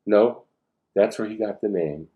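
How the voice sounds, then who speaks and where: A man's voice: lowish voice, measured pace, narrow, tinny audio, natural voice. A man reading the storybook dialogue of a firm, logical, know-it-all schoolgirl spy in a matter-of-fact way. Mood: explanatory. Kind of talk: storybook read-aloud dialogue.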